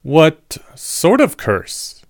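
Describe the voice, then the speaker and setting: A precocious schoolboy, acted by a male narrator in an audiobook. The voice is lifted well up in pitch, earnest and articulate, with a bold, questioning way of speaking. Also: huge pitch swings, drawn-out words, creaky, a little mellow, no room sound, studio quality, full character voice. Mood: cautious, concerned.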